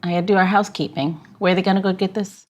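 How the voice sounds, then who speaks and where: A woman's voice: medium pitch, fairly even intonation, quick pace, darkish tone. A woman co-hosting a podcast, chatting casually, teasing and laughing often. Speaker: podcast co-host. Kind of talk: casual chat and read outro.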